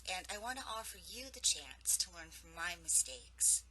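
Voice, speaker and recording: Bright-voiced woman with a cold. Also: on the low side, expressive, moderate pace, slight background noise, poor audio. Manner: earnest, encouraging, spiritual. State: has a cold, losing her voice. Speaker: intuitive coach. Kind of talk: advice vlog answering viewer questions.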